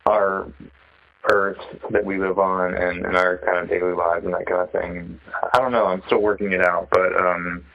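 A man in a casual phone conversation, talking in a halting, reflective way as he searches for the right words. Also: sits low, little pitch movement, gravelly. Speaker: interviewee, sculptor. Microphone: telephone. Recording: dull, band-limited phone call.

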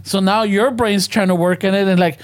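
A man's voice: high-pitched, steady tone, a little fast, dry recording. A man chatting casually with friends, switching between English and Spanish, rambling and swearing as he tells stories.

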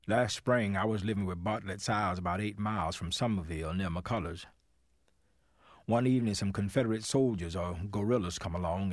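A man acting a formerly enslaved Tennessee man, giving sober, measured first-person testimony in a dramatic reading. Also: on the low side, no echo, studio quality, natural voice.

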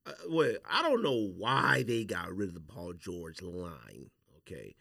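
Man with very animated delivery. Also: sits low, measured pace, gravelly, no echo, clean studio sound. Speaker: podcast host. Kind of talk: casual sneaker and sports banter. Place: dry studio.

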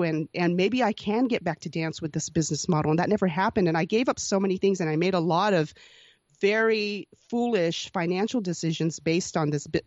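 A woman in her forties telling her life story as an interview guest, earnest and reflective, often restarting sentences and breaking into soft laughs. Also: mid-range voice, no echo, muffled recording. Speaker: podcast host being interviewed as guest. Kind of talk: candid personal storytelling.

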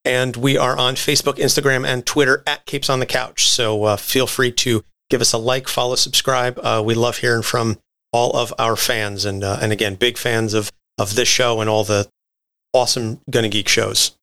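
A man's voice: medium pitch, steady tone, moderate pace, pushed and bright. A man speaking casually as a podcast guest, plugging a show.

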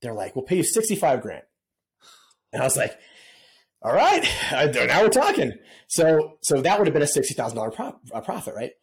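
A man's voice: on the high side, big pitch swings, fairly quick. A middle-aged man telling stories as a podcast guest in a casual, laid-back way, often saying dude and man. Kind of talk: casual storytelling interview.

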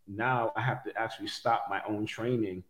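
A man interviewing casually, with an informal, halting way of talking and often repeated words. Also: on the low side, steady tone, a bit bright. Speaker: interviewer, athletic trainer. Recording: echoey room.

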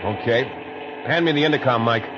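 A male actor as a resolute pilot in trouble, speaking in clipped, composed commands. It is 1940s radio drama, with a dull old sound and clear engine noise behind him. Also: mid-range voice, brisk, close to an everyday voice. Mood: grimly composed. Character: calm, resolute male military plane pilot in distress. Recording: noisy 1940s radio recording, engine roar.

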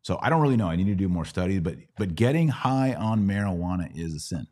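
A man with a very low voice hosts a religious Q&A podcast. His delivery swings widely in pitch as he talks conversationally, leaning hard on key words and repeating them to drive a point home. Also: expressive, quick pace, darkish tone. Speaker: podcast host.

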